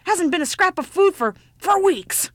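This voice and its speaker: A cantankerous old woman from a storybook, as a female narrator acts her out. She is tart, growling and indignant, scolding with a gruff peasant bluntness. The recording is dry and close. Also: mid-range voice, brisk, fairly bright tone, no echo, close to an everyday voice. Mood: outraged. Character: cantankerous old peasant woman, grumpy but secretly soft. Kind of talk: storybook character voice.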